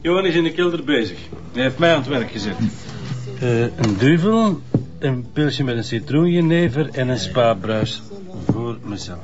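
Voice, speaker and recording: An actor playing a patient, gently sceptical police inspector and sidekick with a Flemish accent in a crime drama. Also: male voice, medium pitch, expressive, normal speed, music in the background, close to an everyday voice. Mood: mild, casual. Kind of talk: broadcast crime drama acting. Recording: dull sound with background hum.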